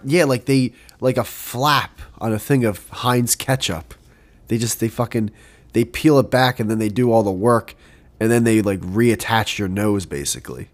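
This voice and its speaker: A man's voice: lowish voice, moderate pace. A young man with a stuffy, blocked nose from a cold, chatting casually and laughing as a podcast co-host. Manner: animated, laughing, swearing. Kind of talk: casual chat.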